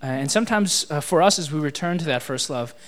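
A man opens a church retreat session and introduces the next speaker, pausing often and restarting his sentences. His voice sits a little higher than most men's. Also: lively intonation. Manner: halting, lots of fillers and restarts. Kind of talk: spoken introduction.

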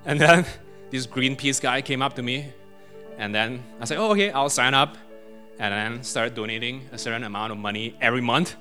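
A young man with a Malaysian accent shares his testimony in a relaxed, chatty way, often laughing at himself between thoughts. His voice is bright and forward. Faint instrumental music plays behind him. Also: mid-range voice, normal speed. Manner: casual and self-deprecating, laughs often, many fillers. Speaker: guest speaker. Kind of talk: personal testimony.

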